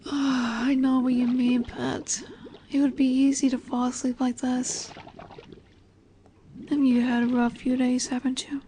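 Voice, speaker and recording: Woman doing a high soft lamia monster girl, dominant but caring, mellow, intimate audio roleplay. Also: little pitch movement, unhurried, slight background noise. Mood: hushed, soothing. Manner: soothing, gently commanding, affectionate. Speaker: voice actress.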